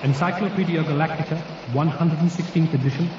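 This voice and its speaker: An actor voicing a formal, measured encyclopedia narrator in a radio drama, with a heavy echo effect. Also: male voice, fairly high pitch, steady tone, far from the mic. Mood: solemn, formal. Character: solemn encyclopedia voice reading entries. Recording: echoing, dull, noisy radio drama recording.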